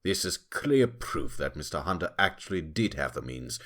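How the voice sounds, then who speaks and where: A man's voice: rumbling low, big pitch swings, moderate pace, heavily acted. A man playing a smug, relentless animal prosecutor in a courtroom audio drama, taunting and theatrical in his questioning. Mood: smug, confident. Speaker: voice actor in fan audio drama. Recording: loud music under the voice.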